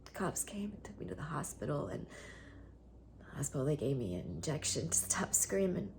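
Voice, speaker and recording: A woman playing a traumatised, lonely young woman in a theatre monologue, halting and intense, often slipping into a breathy hush. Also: sits low, big pitch swings, in a whisper. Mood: hushed, grieving.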